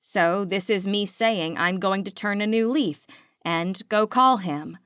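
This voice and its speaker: A woman's voice: medium pitch, measured pace, a bit bright, clear, resonant tone, dry recording, lightly acted. Narrator doing a sorry, earnest young woman in an audiobook, dry muffled sound. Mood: earnest, apologetic. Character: young woman, apologetic, earnest, talking to her friend.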